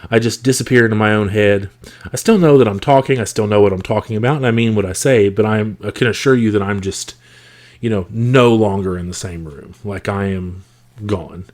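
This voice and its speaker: A man hosting a podcast, talking casually and thoughtfully with a rough, congested, scratchy voice from a cold. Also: on the low side, fairly quick. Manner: reflective, thoughtful, with frequent fillers. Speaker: podcast host. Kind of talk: casual solo podcast talk.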